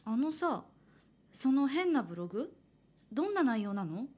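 A high-pitched young woman in a horror radio drama. She is a hopeful, cheerful would-be manga artist who likes to reassure, with a faint unease underneath. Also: very animated, moderate pace, mellow. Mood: cheerful, reassuring. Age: young adult. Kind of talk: Japanese horror radio drama acting with first-person narration.

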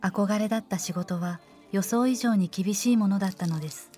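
A woman narrating a scripted horror radio drama in the first person, in a measured, formal storytelling manner. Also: mid-range voice, mellow, faint music behind.

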